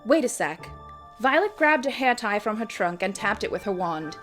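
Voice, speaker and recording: A woman's voice: mid-range voice, expressive, moderate pace, fairly bright tone, soft background music. A woman giving a dramatic reading of her own fan fiction, with wry, self-mocking asides. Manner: theatrical, self-mocking commentary. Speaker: reader and host.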